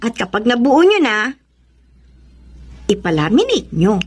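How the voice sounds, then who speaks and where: A woman playing a supportive, sensible grown daughter in a radio drama, expressive and earnest. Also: a little high, unhurried, husky, heavily acted. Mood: helpful. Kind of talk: Tagalog radio drama acting.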